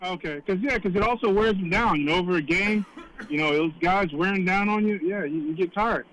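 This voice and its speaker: A man phoning in to a sports show with a casual, haltingly worded question over a slightly noisy line. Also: high voice, a bit bright. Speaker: caller. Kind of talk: casual radio call-in question. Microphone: phone call. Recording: faint noise on call-in line.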